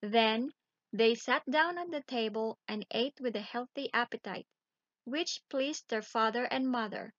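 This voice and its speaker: Slow-paced woman reading a children's story. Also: on the high side, a bit bright, dry recording, muffled recording. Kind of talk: children's bedtime story read aloud.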